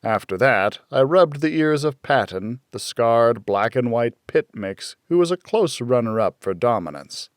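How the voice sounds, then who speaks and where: A man reading a hardboiled first-person thriller audiobook in a measured, deliberate storytelling manner, close to the microphone. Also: mid-range voice, expressive, no room sound. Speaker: audiobook narrator.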